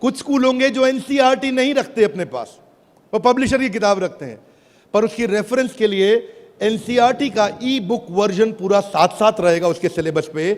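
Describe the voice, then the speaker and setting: A man giving an energetic product pitch on stage to a live audience, teasing and calling out to the crowd. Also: normal speed. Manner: showy, teasing, calls out to the crowd. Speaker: business presenter on stage. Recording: large hall with crowd and faint noise.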